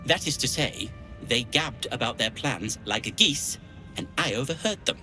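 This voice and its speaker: A fussy male gnome from a fantasy game, full of self-righteous indignation. He speaks in theatrical, wordy flourishes at a middling pitch, with music clearly behind him. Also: lively intonation, normal speed, bright, forceful tone, poor audio. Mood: indignant. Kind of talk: video game character dialogue.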